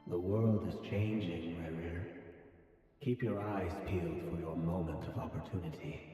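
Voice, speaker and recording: An echoing, ominous ghostly counselor. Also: male voice, sits low, fairly even intonation, mellow. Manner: cryptic, ominous, measured. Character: ghostly male voice in the head, cryptic, ominous counselor. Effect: heavy ghostly reverb. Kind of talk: dramatic video game acting. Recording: distant, long echoing reverb, faint music.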